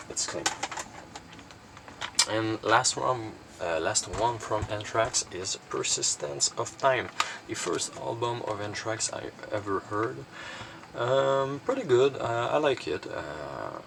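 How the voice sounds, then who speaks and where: Low, gravelly man talking casually. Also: background noise. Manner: hesitant, filler-heavy, rambling. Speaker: collector presenting cassette collection. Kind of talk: casual unscripted show-and-tell.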